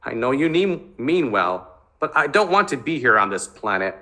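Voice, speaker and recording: A man's voice: mid-range voice, big pitch swings, normal speed, pushed and bright, voice pushed up. A man voicing an angry, tormented fifteen-year-old boy in a novel reading, agitated and insistent.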